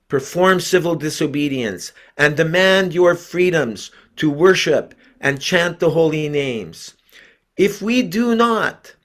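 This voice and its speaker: An older man, a devotee preacher and host, addressing a religious gathering in a deliberate, earnest speaking style. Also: on the high side. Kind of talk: read-out sermon, then informal introduction.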